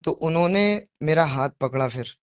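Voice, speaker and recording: A man with a somewhat high voice talks over a dull, lo-fi phone recording. He is apologetic and insistent, justifying himself in earnest conversation, often repeating his point and asking questions he expects agreement to. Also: moderate pace. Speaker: devotional poetry reciter on a phone call. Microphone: phone call.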